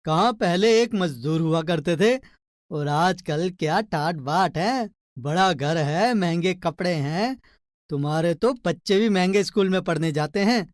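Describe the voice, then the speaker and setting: An actor playing a polite, needy labourer in a cartoon story, flattering and pleading with his friend. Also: medium pitch, measured pace, no echo, clean studio sound, close to an everyday voice. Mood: admiring. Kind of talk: children's cartoon story dialogue.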